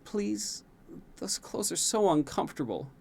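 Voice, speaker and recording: A man's voice: high voice, expressive, unhurried, vocal fry, darkish tone, pitched above the performer's own voice, full character voice. A man voicing a petulant young prince who pleads in a whiny, raised voice. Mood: pleading.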